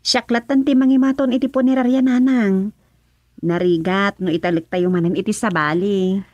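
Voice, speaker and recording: A woman's voice: medium pitch, steady tone, moderate pace, a little mellow, no room sound, close to an everyday voice. A woman with an Ilocano accent playing an agreeable, dutiful young adult daughter in a radio drama, speaking deferentially. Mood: eager, agreeable. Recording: slightly dull, lo-fi sound.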